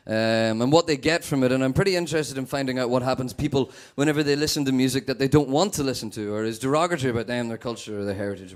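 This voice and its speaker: A young man from Northern Ireland with a Northern Irish accent, performing a stand-up set with a sweary, deadpan manner. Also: medium pitch. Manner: sweary, animated, deadpan asides. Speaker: stand-up comedian.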